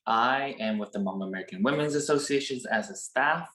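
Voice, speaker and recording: A man's voice: medium pitch, a little fast, pushed and bright. A man in his late twenties from Wisconsin, introducing himself earnestly on a video call as a staff member of a community group. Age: 20s. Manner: earnest, searching for words. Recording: roomy echo.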